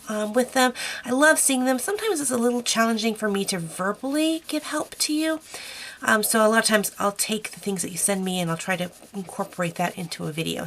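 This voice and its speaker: A woman's voice: medium pitch, a bit bright. A woman hosting an art tutorial, chatty and encouraging with lots of fillers, her nose stuffy from a cold. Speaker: art channel host.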